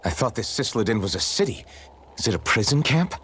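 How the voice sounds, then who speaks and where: A man voicing an earnest, steady young swordsman hero in a video game. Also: on the low side, fairly quick, breathy edge, full character voice. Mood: earnest, resolute.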